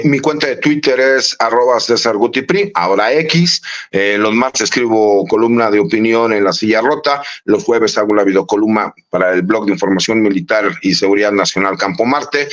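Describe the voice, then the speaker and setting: A man in his late forties, a lawyer, giving casual analysis in an interview and speaking warmly and familiarly to the host. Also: medium pitch, dull audio. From Mexico. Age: late 40s. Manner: warm, conversational, often says "mi querido Manuel". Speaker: lawyer and political analyst guest.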